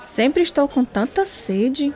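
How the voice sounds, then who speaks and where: A woman's voice: sits high, expressive, slow, darkish tone, some chatter behind. An actress playing a devout, weary, caring mother in a radio drama.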